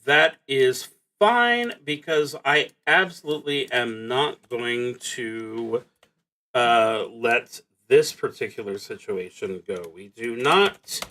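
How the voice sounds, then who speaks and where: Guy streaming solo ttrpg, talks slow, kinda thinking out loud while he reads the prompts, normal pitch. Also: poor audio. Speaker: streamer playing a solo tabletop roleplaying game. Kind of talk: live game stream, thinking aloud and reading prompts.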